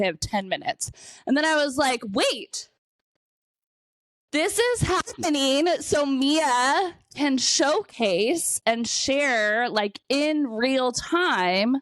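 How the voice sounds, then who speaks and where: A woman hosting a podcast, a little sniffly, chatting candidly with lots of swearing and animated storytelling over a call. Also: a little high, no echo. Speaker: podcast host. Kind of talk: casual conversational chat. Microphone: remote call.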